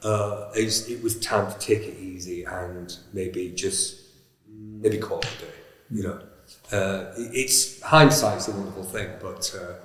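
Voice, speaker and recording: Lowish guy recalling a sad phone call, halting, echoey room. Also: fairly bright tone. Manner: halting, many uhs and restarts. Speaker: former panto cast member. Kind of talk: reflective storytelling. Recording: echoey room with long reverb.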